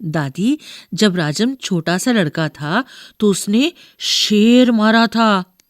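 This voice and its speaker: A woman's voice: mid-range voice, slow pace, dry recording, clean studio sound, close to an everyday voice. A woman voicing an eager, impatient young grandson who is easily annoyed, in a storybook reading. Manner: insistent, excitable.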